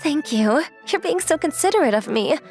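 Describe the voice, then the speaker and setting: Woman acting a high, teasing young anime model. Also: lively intonation, moderate pace, a little mellow, faint music behind. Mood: touched. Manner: playful teasing. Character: relaxed, teasing young female model, girlish and affectionate. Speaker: voice actor in a manga dub. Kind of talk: acted anime-style dialogue.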